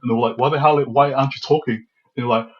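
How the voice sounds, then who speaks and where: A man's voice: mid-range voice, very fast, breathy edge, lo-fi sound. A young man from New Zealand, a podcast guest telling stories casually and acting out what people said. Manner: lively storyteller who acts out his own quotes. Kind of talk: casual storytelling interview.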